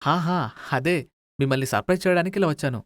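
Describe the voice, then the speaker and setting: A man's voice: on the high side, quick pace, dry recording, clean studio sound, close to an everyday voice. A man playing a timid, evasive young adult son who coaxes and over-explains in a dramatised moral story. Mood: sheepish. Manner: hesitant, coaxing, over-explaining.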